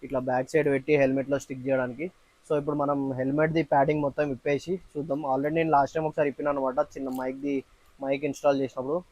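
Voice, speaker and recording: Male vlogger, Telangana Telugu accent, very quick delivery, level intonation, informal step-by-step explainer. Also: mid-range voice, flat delivery, darkish tone, no room sound. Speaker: motovlogger. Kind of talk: casual hands-on vlog narration.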